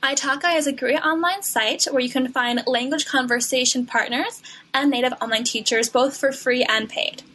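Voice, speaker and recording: A woman's voice: high-pitched, steady tone, normal speed, bright, forceful tone. A woman co-hosting a podcast, chatty and enthusiastic, sprinkling Italian words into her English. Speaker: podcast co-host. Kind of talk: casual podcast chat.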